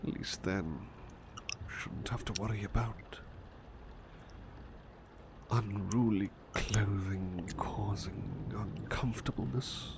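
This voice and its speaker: A man playing a gentle, devoted butler in an intimate ASMR roleplay, soft-spoken and often slipping into whispers, polite and a little hesitant. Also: fairly low pitch, takes it slowly, creaky, pauses often, very noisy. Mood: soothing, tender. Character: devoted, gentle, flustered male butler attending his beloved.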